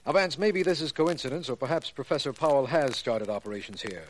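Earnest, courteous public prosecutor played by a man, 1940s radio mystery. Medium pitch, formal delivery, faintly noisy vintage recording. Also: close to an everyday voice. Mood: serious. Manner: formal, measured explanation. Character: earnest, cordial male district attorney.

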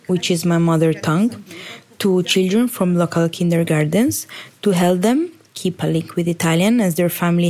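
A woman reading a voice-over translation of a teacher's words in a calm, even manner. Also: lowish voice.

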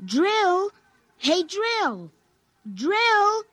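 A woman playing a puzzled, slow-witted young child sidekick in a radio drama, halting and simple in speech. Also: very high-pitched, very animated, fairly bright tone, dry recording, heavily acted.

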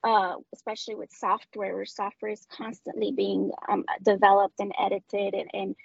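A Colombian woman with Spanish as her first language talks through a casual interview, halting and restarting her sentences. Her voice is gravelly and breathy. The dry, dull audio sounds like a video call. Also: medium pitch, level tone, no echo. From Colombia, Medellín. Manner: hesitant, many restarts and fillers. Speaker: guest, customer success director.

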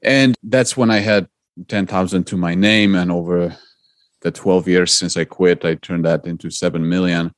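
A man's voice: low voice, steady tone, moderate pace, no echo, clean studio sound. A middle-aged man from Poland, earnestly explaining his investing path as a podcast guest. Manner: earnest, explanatory, some repeated starts. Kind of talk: interview answers.

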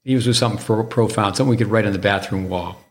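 A man's voice: fairly low pitch, racing. A man hosting a tennis podcast, rambling in a casual way with many stumbling restarts and repeated words. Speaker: podcast host and tennis coach. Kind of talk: casual interview chat.

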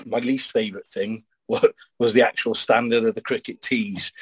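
A man's voice: mid-range voice, steady tone, moderate pace, slightly rough, no echo, low-quality recording. A man reminiscing in an easygoing, fond way. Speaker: guest, former pupil. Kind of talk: reminiscing in a group video chat.

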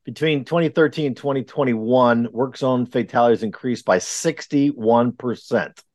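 A man's voice: mid-range voice, moderate pace, a little mellow, no echo. A man from Wisconsin hosting a podcast, chatting casually with many ums and uhs in an earnest, rambling way. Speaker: podcast host. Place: remote recording.

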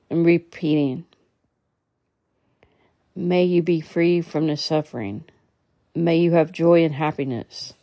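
A woman leading a guided meditation in a soothing, measured way, occasionally stumbling as she reads. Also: low voice, mellow, no echo. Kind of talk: guided meditation, slow instructional reading.

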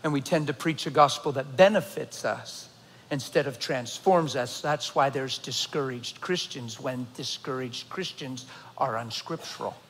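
A pastor in his fifties preaching a sermon, swinging from low, quiet passages to shouting intensity. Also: unhurried, slight background noise. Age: 50s. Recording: long hall reverb.

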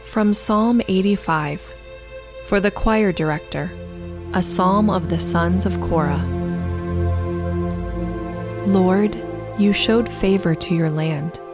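A woman reading scripture aloud in a measured, solemn manner, with instrumental music behind her. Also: mid-range voice. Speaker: scripture reader. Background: new-age instrumental music.

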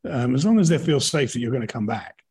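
A man's voice: mid-range voice, expressive, fast talker, a little mellow, breathy edge. A middle-aged British man in casual, reflective conversation, thinking aloud and often rephrasing as he goes. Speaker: podcast guest, actor and director.

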